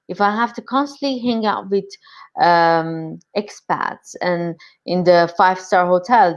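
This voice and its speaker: A woman's voice: medium pitch, muffled recording. A woman from Iran, speaking emphatically in short, repeated statements as a panelist giving her opinion. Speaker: podcast panelist.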